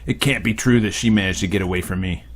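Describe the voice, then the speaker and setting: A man reading a visual novel aloud as a let's play host, casual and profane, now and then bursting into shouts. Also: low voice, quick pace, a little hiss or hum.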